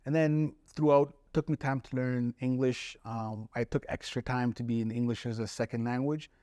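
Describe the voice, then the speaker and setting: A man's voice: medium pitch, steady tone, moderate pace, warm tone. Man in his forties, reflective podcast guest, level intonation, dry studio-like sound without echo. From Somali-born, raised in Italy and Canada. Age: 40s. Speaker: podcast guest, spoken-word poet. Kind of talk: reflective interview talk.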